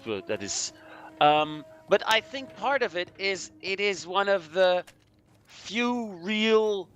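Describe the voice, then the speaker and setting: A man's voice: high voice, a bit bright. A man gives casual commentary on history, hedging and thinking aloud as he goes. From Belgium. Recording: clearly noisy background.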